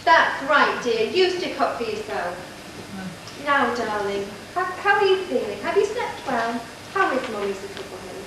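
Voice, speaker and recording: A distant, noisy recording from an echoing stage carries a woman acting as a grand pantomime queen. She is high and lively in pitch, cooing and mollycoddling like an indulgent royal mother. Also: measured pace. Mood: doting. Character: doting haughty queen, indulgent mother of a prince. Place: church pantomime stage, far camera. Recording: echoey distant noisy amateur stage video.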